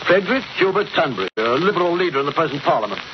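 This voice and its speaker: A man's voice: on the high side, very animated, rapid-fire. A man acting a pompous, indignant politician in a 1940s radio drama, blustering and sputtering. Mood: indignant, flustered. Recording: dull, hissy old-time radio recording.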